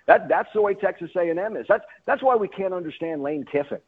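A man's voice: sits high, expressive, quick pace, husky, phone-quality sound. A male sports announcer speaking as a guest on a radio talk show, emphatic and often repeating and rephrasing himself.

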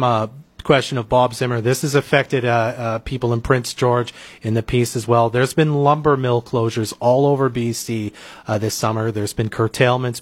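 A man hosting a local radio interview show, asking questions in a hesitant way with many filler sounds. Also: mid-range voice. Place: radio studio.

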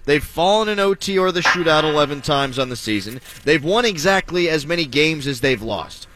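A man's voice: a little high, lively intonation, a little fast, background noise. A man hosting a sports talk radio show, joking and wry in his delivery.

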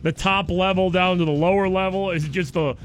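A man's voice: high voice, brisk, soft background music. A man co-hosting a morning radio show in casual, joking banter, with a sore throat and a voice that is going. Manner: excitable, sarcastic, joking.

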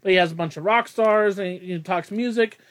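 A man's voice: high-pitched, brisk, a bit bright. A young man hosting a casual podcast, rambling and self-deprecating, laughing often. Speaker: podcast host.

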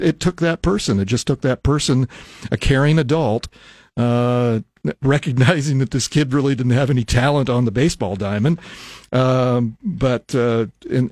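Halting older American man hosting radio, dry sound. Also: mid-range voice, darkish tone, dry recording. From Nebraska, United States. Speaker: radio talk show host. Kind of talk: casual radio monologue. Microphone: close studio microphone.

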